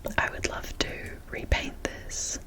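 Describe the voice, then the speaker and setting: A young woman with an Australian accent speaking in a breathy whisper, rambling casually in an ASMR show-and-tell style. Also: a little slow. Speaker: ASMR creator showing a doll collection.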